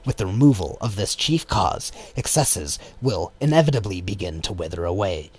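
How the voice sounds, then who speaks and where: A man reading a political text as an audiobook in a measured, formal way. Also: sits low, sing-song. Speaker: audiobook reader. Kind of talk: scripted narration of a political text. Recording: clear background noise.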